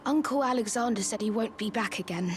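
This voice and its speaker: A woman voicing a small, earnest young living jar in a video game, childlike and wistful, speaking softly and confidingly. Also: a little high, brisk, a little hiss or hum, close to an everyday voice. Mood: admiring, wistful. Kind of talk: video game character acting.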